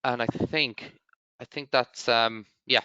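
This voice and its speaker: A man's voice: mid-range voice, fairly even intonation, slow pace, pushed and bright, clear, resonant tone, dry recording, lo-fi sound. A man moderating a webinar, reading out viewer comments with many hesitant ums. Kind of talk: moderating questions.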